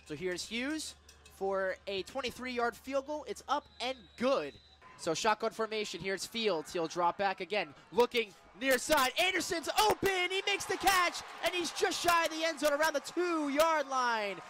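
An American man working as a radio play-by-play announcer, calling live football in an excitable, emphatic manner. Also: extremely high pitch, measured pace, faint music behind. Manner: excitable, builds to emphatic calls on big plays.

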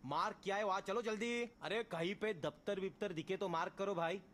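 A man's voice: high voice, brisk, a bit bright. A brash, street-smart gamer from a game voice pack. He throws out battle callouts in a Mumbai accent, with swaggering, exaggerated slang. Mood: brash, urgent. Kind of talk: game voice pack one-liners.

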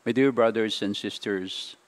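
Slow older Filipino priest preaching. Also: male voice, lowish voice. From Philippines. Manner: slow, halting delivery with frequent "uh" fillers, switching between English and Tagalog. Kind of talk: sermon. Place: large church, indoors. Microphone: stand mic at the lectern.

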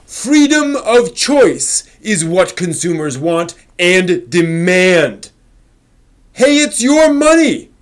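A middle-aged man giving a theatrical dramatic reading, with impassioned, overwrought emphasis and drawn-out words. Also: high-pitched, very animated. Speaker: host reading an old forum post aloud. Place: small home room with shelves, indoors.

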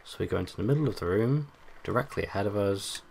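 Guy, deep bassy voice, goofy lets play chatter, hums to himself. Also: animated, fast talker, creaky, slight background noise. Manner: joking, hums and sings to himself, loud bursts. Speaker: let's play host. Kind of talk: casual gameplay commentary.

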